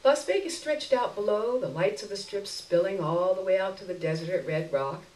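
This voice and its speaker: A woman giving an expressive live reading of a first-person short story, in a literary narration style. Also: mid-range voice, normal speed. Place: indoor stage before an audience. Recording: roomy live stage recording, distant mic.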